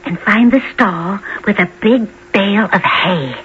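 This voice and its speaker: A woman's voice: medium pitch, measured pace, a bit bright, lightly acted. An actress playing a frightened, sorrowful frontier townswoman who pleads urgently in a dramatic radio-play style. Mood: grave, urgent. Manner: dramatic, imploring. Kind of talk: 1970s radio drama acting. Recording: muffled, lo-fi old radio recording, faint noise.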